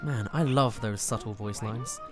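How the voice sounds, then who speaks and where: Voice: medium pitch, a little slow. An enthusiastic, chatty reviewer, narrating a scripted video game countdown. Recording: music behind the voice.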